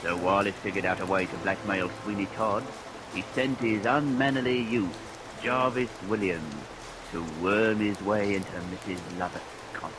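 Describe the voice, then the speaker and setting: A man's voice: fairly low pitch, steady tone, moderate pace, lightly acted. A man playing a wry storytelling narrator of a lurid vintage radio melodrama. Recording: vintage radio recording, loud rain noise.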